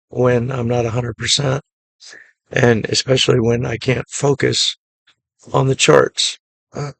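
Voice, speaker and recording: A man with a deeply gravelly, hoarse voice that has been worn down by a cough. He speaks in a flat, even monotone at a middle pitch and goes through a trade recap in a relaxed, matter-of-fact way. His voice is close and dry, with no room sound around it. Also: dry recording, rough recording. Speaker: day trading channel host. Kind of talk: casual screen-share trade recap.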